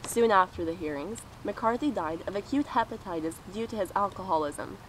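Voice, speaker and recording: Young female co-presenter, mid-pitched, scripted biography read on a slightly noisy amateur recording. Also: brisk.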